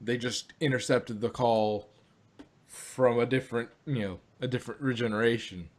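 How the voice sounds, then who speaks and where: A man's voice: medium pitch, steady tone, normal speed. A man co-hosting a fan podcast review, talking casually and rambling with lots of fillers and restarts. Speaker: podcast co-host.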